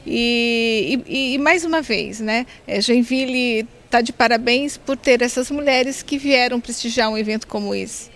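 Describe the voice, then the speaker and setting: A woman's voice: a little high, unhurried, few pauses, a bit bright. A Brazilian woman who helped organize the event, talking earnestly into a handheld mic in a casual interview. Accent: Brazilian Portuguese. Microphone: handheld microphone.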